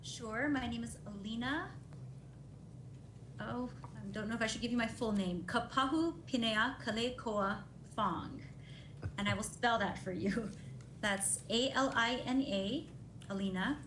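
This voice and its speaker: A woman's voice: a little high, a bit bright. A woman in her forties from Honolulu giving formal testimony as a neuropsychologist, speaking hesitantly and matter-of-factly. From Honolulu, Hawaii. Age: 40s. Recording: echoey room, faint noise, video call.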